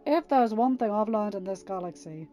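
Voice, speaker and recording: A woman puts on a cynical male smuggler for an audiobook. He sounds gruff and mellow, with a slangy, dismissive delivery. He is irritable and out for himself. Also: medium pitch, animated, normal speed, soft background music, natural voice. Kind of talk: dramatised audiobook reading.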